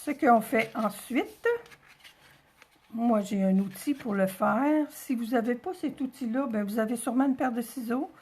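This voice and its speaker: Chatty, husky higher-voiced Quebec woman demonstrating crafts. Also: unhurried, darkish tone. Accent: Quebec French. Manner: chatty, informal, thinking aloud. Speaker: craft tutorial host. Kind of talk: casual step-by-step demonstration.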